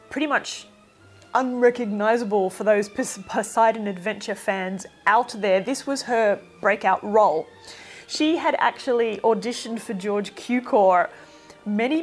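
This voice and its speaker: A woman giving an informal, opinionated film review in a chatty, emphatic way. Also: medium pitch.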